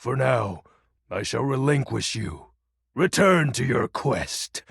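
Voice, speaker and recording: An actor voicing a melodramatic, brooding game character, a personification of darkness who talks in pompous, theatrical, archaic phrases with a gravelly, husky voice. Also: male voice, mid-range voice, expressive, slow pace, a bit bright, dry recording, studio quality, heavily acted. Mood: gracious, formal. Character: melodramatic brooding Lord of Shadows, personified darkness, secretly dorky. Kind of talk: video game character voice acting.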